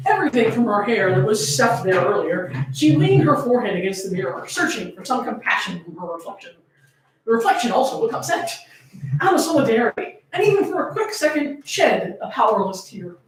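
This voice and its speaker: Animated woman reading aloud in an echoey room. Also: a little high, fairly quick, crowd noise. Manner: amused, often breaking into laughter while reading. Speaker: reader at a fan fiction reading. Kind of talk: dramatic comedic story reading. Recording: echoey room, distant microphone.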